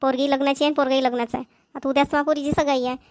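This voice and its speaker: Woman playing high, fast-talking Marathi village mother. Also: little pitch movement, natural voice. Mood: brisk, insistent. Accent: Vidarbha Marathi. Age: middle-aged. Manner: hurried, repetitive, homely. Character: busy village mother rushing to invite neighbours to engagement. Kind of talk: amateur cartoon comedy dialogue.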